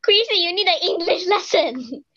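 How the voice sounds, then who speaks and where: A bubbly woman hosts a casual podcast chat in a high voice. The sound is dry and slightly dull, like a lo-fi mic. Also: animated, normal speed, bright, forceful tone, dry recording. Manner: bubbly, laughs often. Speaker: podcast host.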